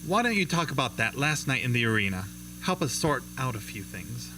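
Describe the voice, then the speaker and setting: A man playing a probing, sympathetic television interviewer with polished, measured delivery in an amateur radio drama. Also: medium pitch, sing-song, background noise, close to an everyday voice. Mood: curious, probing. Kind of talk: amateur radio drama acting, talk show host.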